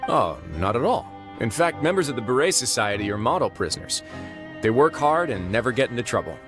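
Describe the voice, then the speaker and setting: An actor playing a calm, confident male prison warden who talks in a relaxed, dry, wry way in a video game. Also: mid-range voice, a little fast, over music, lightly acted.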